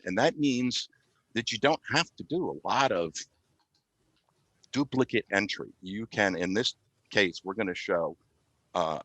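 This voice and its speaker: A man giving a measured, halting technical explanation as a podcast guest. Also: fairly low pitch, fairly bright tone, no room sound, rough recording. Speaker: IT specialist and consultant, guest. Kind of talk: explanatory product talk on a podcast.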